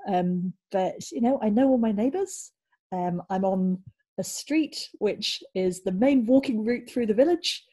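Mellow woman from belgium, guest on a chat, gentle cozy vibe. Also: mid-range voice, lively intonation, a little slow, husky. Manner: friendly, gentle and cozy, laughs easily. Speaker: interview guest, PhD student. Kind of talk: casual interview chat.

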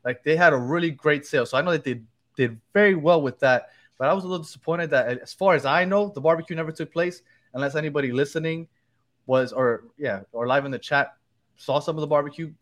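A man hosts a casual fan podcast with a slightly high voice. He chats loosely, jokes with his co-hosts and restarts his sentences as he goes. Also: quick pace. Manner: chatty, joking, loose restarts. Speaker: podcast host.

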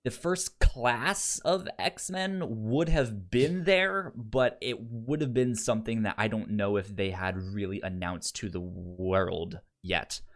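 A man co-hosting a podcast in casual discussion, speaking haltingly with stammers and repeated word starts. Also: on the low side. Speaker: podcast co-host reviewing an audio drama.

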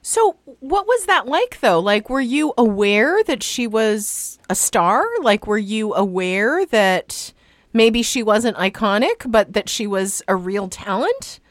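A woman's voice: a little high, animated, slow, no echo. Woman podcast host, close dry sound. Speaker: podcast host interviewing a guest. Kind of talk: casual interview chat.

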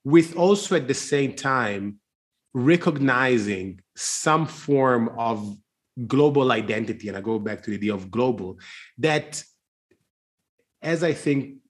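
A man's voice: mid-range voice, expressive. Male, mid-range voice. Thinks aloud in conversation, circling and restarting as he searches for the point. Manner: searching, halting, circling around his point. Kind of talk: reflective conversation.

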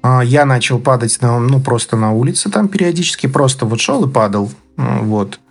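A man from Belarus being interviewed, casually recounting his own experience in a halting way, searching for words. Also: mid-range voice. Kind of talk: casual spoken recollection. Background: background music.

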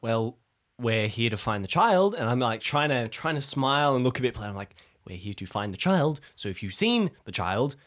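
Lively-pitched man improvising in a tabletop game. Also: moderate pace. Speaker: player in a tabletop role-playing game. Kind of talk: casual improvised play.

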